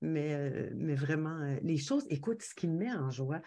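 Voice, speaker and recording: A woman's voice: deep voice, animated, normal speed, dark tone. A woman from Quebec with a Quebec French accent, a podcast guest talking casually with many fillers over a video call. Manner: hesitant, many fillers, laughs easily. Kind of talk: casual conversational interview.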